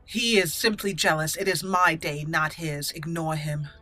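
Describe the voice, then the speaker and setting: A woman's voice: low voice, moderate pace, sharp tone, faint music behind. A woman hosting a let's play, giving roleplay commentary and slipping into regal in-character lines.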